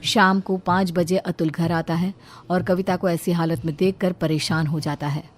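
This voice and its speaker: A woman's voice: fairly low pitch, steady tone, normal speed. A woman reading scripted horror story narration as the storyteller.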